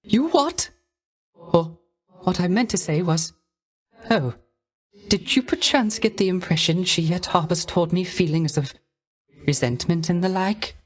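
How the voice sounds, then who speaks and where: A man playing a gentle, melancholy dead musician in a video game, with a soft, light voice and wistful, courtly, hesitant phrasing. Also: sits high, unhurried, long pauses. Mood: anxious, wistful. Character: gentle, melancholy dead male musician mourning lost love. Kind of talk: theatrical video game character acting.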